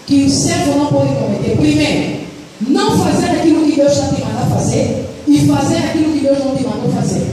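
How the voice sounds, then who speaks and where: A woman with a high voice preaches in a church, telling a testimony in an earnest way. Her voice booms around a big, echoey hall. The mic is set some distance from her, and steady noise runs underneath. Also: fairly quick, a loud crowd. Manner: earnest storytelling, asks the congregation for amens. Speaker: missionary preacher. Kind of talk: sermon with a personal testimony.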